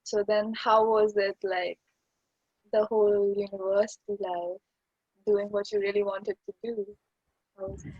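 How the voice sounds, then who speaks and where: A woman's voice: medium pitch, flat delivery, measured pace, long pauses, no echo. A young woman interviewing a guest, eager and chatty, saying "yeah" and "like" often, over dull lo-fi video call audio. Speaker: interviewer host. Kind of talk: casual interview chat.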